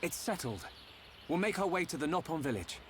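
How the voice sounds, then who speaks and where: Earnest young adventurer, British, fairly high male voice, very varied intonation, slight background noise, game cutscene. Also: very animated, fairly quick. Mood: friendly, eager. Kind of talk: video game cutscene acting.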